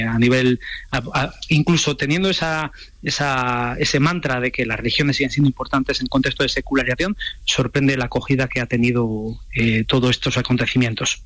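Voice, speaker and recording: A man with an accent from Spain speaking as an expert guest in a radio interview, explaining in a measured, academic way. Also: mid-range voice, a bit bright, no room sound. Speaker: sociologist, university professor, guest expert.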